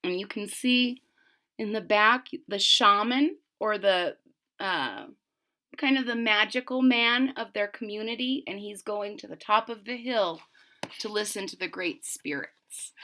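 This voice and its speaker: Woman doing a kids read aloud, slow and bright, explains bits as she goes. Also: mid-range voice. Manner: deliberate, explanatory, addressing young listeners. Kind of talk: expressive children's story read-aloud with explanatory asides.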